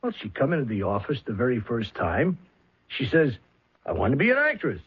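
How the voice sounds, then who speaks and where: An actor playing a shabby, evasive showbiz agent in a 1970s radio drama, stammering and wheedling in streetwise New slang. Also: male voice, mid-range voice, huge pitch swings, a little fast, creaky, breathy edge, full character voice. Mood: flustered, evasive. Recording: dull old radio recording.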